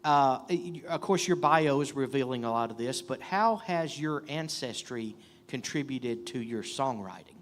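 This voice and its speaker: A man's voice: medium pitch, expressive, unhurried, in a large hall, slight background noise. A man hosting a live radio show, who interviews his guest playfully with stammering restarts.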